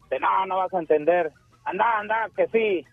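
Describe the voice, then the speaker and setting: A man's voice: high voice, normal speed, vocal fry, no echo, faint music behind. A man calling in to a radio show, casually telling a joke and chuckling at it. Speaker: caller. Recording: tinny phone line.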